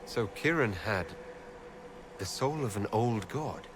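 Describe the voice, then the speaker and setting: Deep-voiced, lively heroic leader. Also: male voice, takes it slowly, noisy background. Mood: questioning. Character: resolute heroic man leading the Inquisition. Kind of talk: dramatic fantasy game acting. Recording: music behind the voice.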